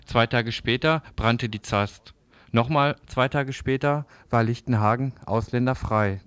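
Smooth, dry-sounding male news presenter. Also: medium pitch, a little slow, dry recording. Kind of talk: scripted news narration.

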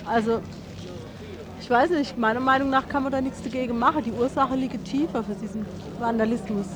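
Woman resident, higher voice, resigned and doubtful, interviewed amid clear street noise. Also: fairly even intonation, brisk. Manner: sceptical, resigned. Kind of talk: spontaneous interview answers. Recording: noisy outdoor street recording.

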